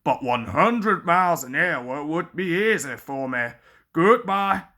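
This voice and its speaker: A boastful storybook steam engine played by a woman reader, male and grumpy. The voice drops well below the reader's own and grumbles along slowly with great self-importance. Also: sits low, lively intonation, a bit bright, voice pushed down, heavily acted. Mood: smug. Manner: pompous, grumbling.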